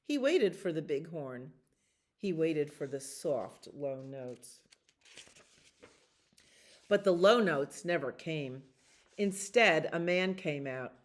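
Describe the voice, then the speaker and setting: A woman reading a children's storybook aloud as a librarian at storytime, in an expressive, deliberate way that draws out repeated words. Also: low-pitched.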